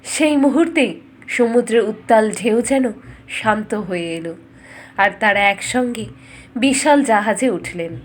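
A woman storyteller giving a scripted, dramatic fairytale narration in measured, expressive phrases. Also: a little high, a little hiss or hum.

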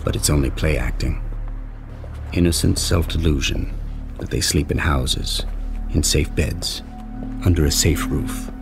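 A man playing a solemn, brooding night-watch narrator in an audio drama, measured and portentous. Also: a bass voice, creaky, over music, heavily acted.

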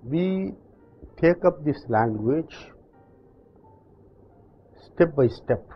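An older man from South India with a South Indian accent, teaching in slow, deliberate steps in front of a chalkboard. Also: on the high side, lively intonation, lo-fi sound, soft background music. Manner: slow, deliberate explanations with pauses. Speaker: language teacher. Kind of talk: slow classroom instruction. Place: classroom with chalkboard.

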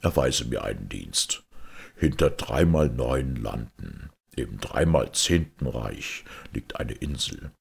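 A man's voice: rumbling low, animated, slow, creaky, breathy edge, voice pushed down, exaggerated voice. A man voicing a commanding, threatening fairy-tale king, stern and imperious.

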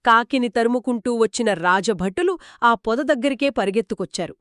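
Female storyteller for kids' tales, expressive co-host, dry close studio sound. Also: on the high side, moderate pace, no echo. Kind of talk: scripted children's storytelling in dialogue.

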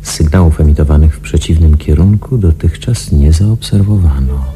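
An actor playing a watchful investigator who muses to himself in a measured radio play monologue. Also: male voice, a bass voice, noisy background. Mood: pondering. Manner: musing, deliberate, measured. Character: watchful, analytical undercover investigator thinking to himself. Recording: music clearly under the voice.

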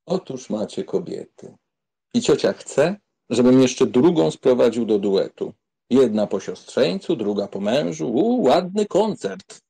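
A man's voice: medium pitch, very animated, measured pace, creaky, mellow, breathy edge, exaggerated voice. A man playing a flippant, teasing young aristocratic count in an audiobook drama, mocking and amused. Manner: mocking, amused, mock-solemn.

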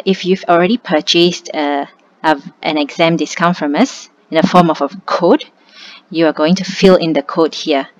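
A young woman, tutoring in a relaxed, conversational step-by-step screen walkthrough. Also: low-pitched. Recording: slightly muffled with faint hiss.

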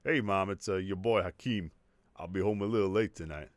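A man's voice: sits low, a little fast, no echo, close to an everyday voice. A man voicing a childish, sleazy slacker in a comedy cartoon, theatrical and prone to whiny outbursts and grandiose villain talk. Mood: smug, casual.

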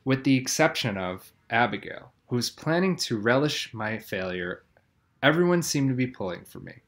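A man's voice: mid-range voice, lively intonation, normal speed, a bit bright. Man reading a kids' novel aloud. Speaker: audiobook reader.

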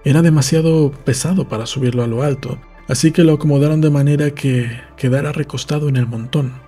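A man's voice: on the high side, fairly even intonation, a little fast, mellow, breathy edge, faint music behind. A man reading literary narration from a script, as in an audiobook. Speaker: audiobook reader.

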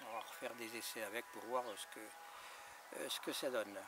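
A man in his fifties chatting casually in a radio check, halting and repetitive, handing the turn back. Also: mid-range voice, fairly even intonation. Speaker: amateur radio operator. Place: outdoors in a gravel yard by a house. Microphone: camera a few metres away. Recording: distant camera mic, noisy open air.

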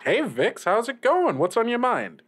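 A man playing a friendly young radio DJ on a casual improvised call-in show, rambling and halting with chuckles. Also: very high voice, wildly swooping pitch, a bit bright, breathy edge, exaggerated voice. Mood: cheerful, amused. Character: friendly young small-station radio DJ named Lil Harry. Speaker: radio host.

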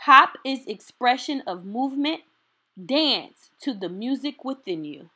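Female storytime reader, fairly high voice, very animated intonation, unhurried and encouraging, for a children's picture book. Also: slightly rough. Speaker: storytime host reading aloud.

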